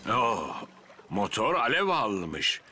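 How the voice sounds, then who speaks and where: A bossy older fire officer. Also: male voice, lowish voice, big pitch swings, exaggerated voice. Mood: commanding. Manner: brisk, giving orders. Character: older male fire station officer, fussy and commanding. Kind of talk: cartoon dub acting. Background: music.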